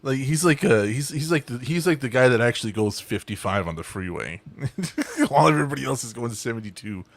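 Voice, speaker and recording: A man's voice: medium pitch, expressive, a little fast, no room sound. Give me a cursing, rambling man on a dry call. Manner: swears freely, rambling with restarts. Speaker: podcast host. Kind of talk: casual group chat.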